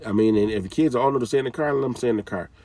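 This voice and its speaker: Gravelly man lecturing from a distance. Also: medium pitch, rapid-fire, a little mellow, dry recording. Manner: direct, scolding, repeats phrases, casual slang. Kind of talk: informal motivational talk.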